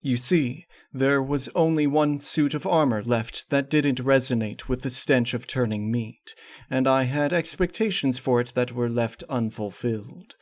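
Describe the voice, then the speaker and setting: Male narrator for gothic horror fiction: mid-range voice, measured and formal, very dry recording. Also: medium pitch, no echo. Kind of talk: dramatic scripted story reading.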